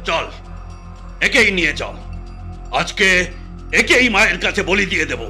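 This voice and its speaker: A woman voicing a gruff, menacing male bandit chief in an audio story, over background music. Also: lowish voice, lively intonation, unhurried, pushed and bright, voice pushed down. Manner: threatening, commanding. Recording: music bed behind.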